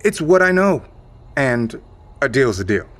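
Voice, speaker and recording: An actor playing an evasive male insider who calmly explains a secret catastrophe in an audio drama, in a measured, matter-of-fact way. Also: mid-range voice.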